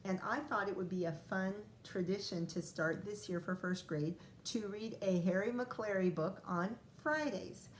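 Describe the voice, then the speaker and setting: A woman with a mid-range voice reads a picture book to first graders. She takes her time, stretching phrases for effect and breaking off for chatty little asides to the children. There is some faint noise behind her. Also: medium pitch. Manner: expressive, drawn-out reading with chatty asides to children. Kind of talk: read-aloud picture book storytelling for young children.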